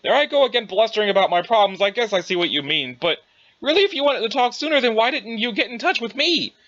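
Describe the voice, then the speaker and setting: A man's voice: high-pitched, lively intonation, fast, a bit bright, lo-fi sound. A man playing a rambling, self-absorbed young adventurer in an audio drama, with bumbling, old-fashioned folksy turns of phrase. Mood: sheepish, rambling. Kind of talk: dramatized dialogue reading.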